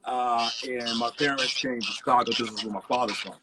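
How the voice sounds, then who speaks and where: A man's voice: medium pitch, steady tone, fairly quick, heavy background noise. A man, a resident DJ, telling stories in a loose, rambling way full of "you know" over a video call. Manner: laid-back, rambling, many "you know" fillers. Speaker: resident DJ guest. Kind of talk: casual storytelling interview.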